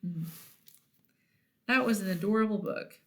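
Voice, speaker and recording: A woman reading a picture book aloud to children in an expressive storytelling style. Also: medium pitch, a little slow, a little hiss or hum. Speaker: children's read-aloud host.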